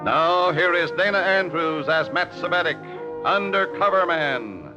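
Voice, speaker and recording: A man's voice: fairly high pitch, expressive, measured pace. A man announcing a 1950s radio drama in a dramatic, emphatic style. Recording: dull, lo-fi old radio recording. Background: brassy orchestral music.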